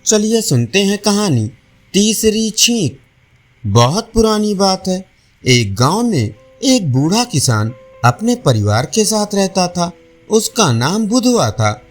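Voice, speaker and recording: High-pitched, slow-paced man telling stories. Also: big pitch swings. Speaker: storyteller. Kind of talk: scripted audio storytelling. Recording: faint music behind narration. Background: soft instrumental music.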